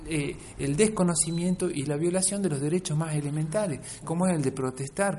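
An Argentine man with a slightly high-pitched voice speaks as an interviewed academic. He is emphatic and discursive, punctuating his explanations with tag questions. There is clear noise behind him. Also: a little mellow. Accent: Argentine Spanish. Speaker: interviewed academic researcher and author.

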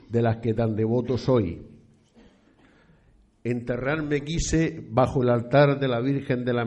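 A man's voice: mid-range voice, darkish tone, close to an everyday voice. A man playing a frail, devout old priest near death in a radio drama, speaking solemnly in archaic, declamatory phrases. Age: older.

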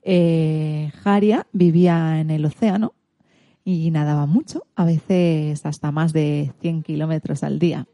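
A woman radio host with an accent from Spain tells a story for all ages. Her voice sits a little low and has a dark, mellow tone. The sound is dry and close, and her delivery is informal but scripted. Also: fairly even intonation, a little slow, dry recording. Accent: Spanish from Spain.

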